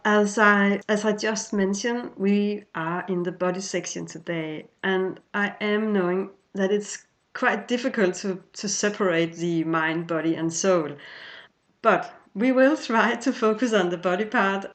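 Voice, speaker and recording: Slow, halting woman interviewer. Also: medium pitch. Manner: halting, repeats words while phrasing questions. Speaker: interviewer, host. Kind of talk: gentle guided interview.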